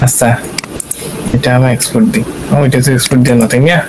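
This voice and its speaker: A man giving an informal software tutorial, thinking aloud and often checking in with questions. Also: medium pitch, very fast. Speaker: tutorial instructor. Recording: clear steady background hiss.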